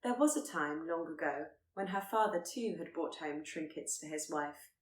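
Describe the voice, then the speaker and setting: A woman's voice: sits low, lively intonation, normal speed, roomy sound. A woman reading a period romance story aloud as scripted narration. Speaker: audiobook narrator.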